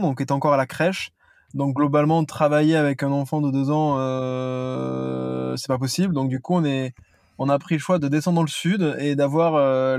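Man, southern French accent, 30s, slightly high voice, hesitant, dry sound, inside a car. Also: no room sound. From Toulouse, southern France. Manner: hesitant, many fillers and restarts. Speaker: guest, company founder. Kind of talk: casual interview chat.

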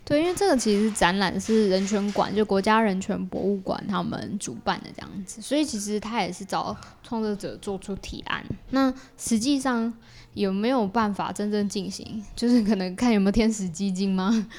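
A woman's voice: medium pitch, moderate pace, darkish tone, a little hiss or hum. A woman with a Taiwanese Mandarin accent explains things in a chatty way as a guest in a casual podcast interview, often repeating her agreement. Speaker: podcast guest, exhibition project creator.

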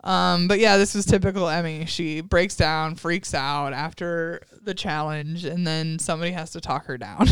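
A woman's voice: on the low side, unhurried, few pauses, clear, resonant tone, no room sound. A young adult woman hosting a podcast, recapping a reality show in a chatty, giggly way with lots of fillers. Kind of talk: casual chat.

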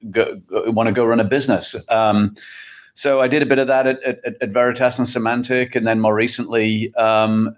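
A middle-aged man with an English accent, answering conversationally and haltingly as an interview guest. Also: fairly low pitch. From England. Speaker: podcast guest, tech chief executive. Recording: dull, muffled lo-fi connection.

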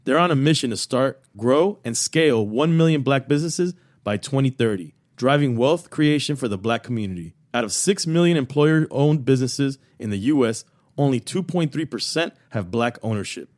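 A man voicing a scripted advertisement in a measured, earnest manner. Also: medium pitch, a little mellow, no room sound, studio quality.

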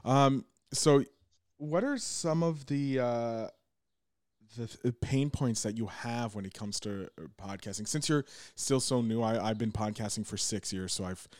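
A man's voice: mid-range voice, measured pace, a little mellow, dry recording. Upbeat man host, dry close sound. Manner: upbeat, affirming, chatty. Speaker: interview host. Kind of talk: casual interview.

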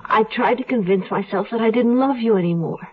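An actress playing a devoted, world-weary wife on the run, earnest and melancholy, in a 1970s radio drama. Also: female voice, fairly high pitch, brisk, slightly rough, narrow, tinny audio. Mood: pleading, tender. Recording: thin-band 1970s radio recording with music.

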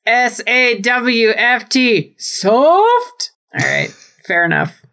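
A man's voice: very high voice, sing-song, drawn-out words, pushed and bright, clear, resonant tone. A man co-hosting a podcast, giving a casual, jokey wrestling recap in an exclamatory, mock-dramatic way. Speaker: podcast co-host.

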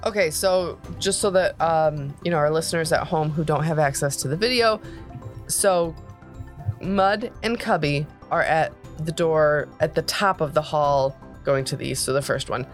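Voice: medium pitch, normal speed, soft background music. A tabletop game master who narrates and explains the scene step by step.

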